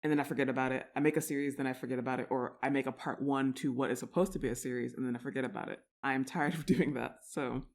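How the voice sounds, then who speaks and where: A woman's voice: rumbling low, fairly even intonation, rapid-fire, few pauses. A woman chatting casually to her listeners as an audio roleplay creator, in an informal, rambling, self-deprecating way.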